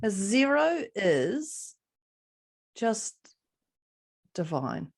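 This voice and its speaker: A woman's voice: mid-range voice, very animated, drawn-out words. A woman with a New Zealand accent co-hosting a podcast in casual chat, bubbly and laughing often. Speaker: podcast co-host.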